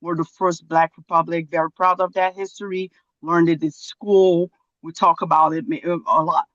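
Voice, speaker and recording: A woman from Haiti giving a long comment on a video call, pausing often with fillers and restarts. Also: on the low side, flat delivery, fairly bright tone, no room sound, lo-fi sound. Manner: hesitant, many fillers and restarts. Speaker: audience member commenting.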